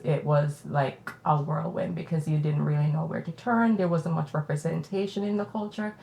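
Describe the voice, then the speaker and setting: A woman's voice: deep voice, creaky, a little mellow, roomy sound. A Jamaican woman hosting a podcast, telling a personal story in a candid, reflective way. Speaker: podcast host.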